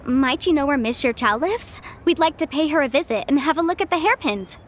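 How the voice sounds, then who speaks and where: A polite young lawyer girl, high and bouncy voice, bit of game music. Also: animated, slight background noise. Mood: poised. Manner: polite, measured, businesslike. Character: composed young female legal advisor, polite and precise. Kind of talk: game cutscene voice acting.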